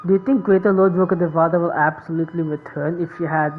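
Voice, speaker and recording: A woman's voice: lowish voice, steady tone, fast talker, faint music behind. A woman streaming a game with casual commentary, sometimes reading the dialogue aloud. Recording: thin phone-like sound, background noise.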